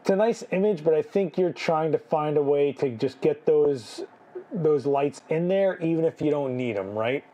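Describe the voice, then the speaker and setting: A man's voice: on the high side, moderate pace, dark tone, highs cut off. A man hosting a live photo critique, chatting casually, thinking aloud with restarts and asking "right?", his voice a bit worn. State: losing his voice. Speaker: photography livestream host.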